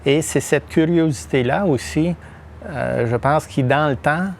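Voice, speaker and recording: A middle-aged man from Quebec with a Québécois accent, talking as a podcast guest, halting often with fillers and restarts. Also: on the high side, creaky, a little hiss or hum. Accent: Québécois French. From Quebec, Témiscamingue. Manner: hesitant, many fillers and restarts. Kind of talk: podcast interview.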